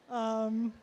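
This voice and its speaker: A middle-aged woman with a mellow, dark-toned voice speaks into a stage microphone before an audience. She tells a personal story in an unhurried, conversational way, dotted with soft laughs. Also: a little high, breathy edge. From United States.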